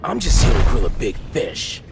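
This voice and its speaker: Young wisecracking superhero for fighting game; excitable, taunting, very animated pitch, over heavy music. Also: male voice, moderate pace, vocal fry, exaggerated voice. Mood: defiant. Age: young adult. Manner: brash, slangy, taunting. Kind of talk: video game battle banter.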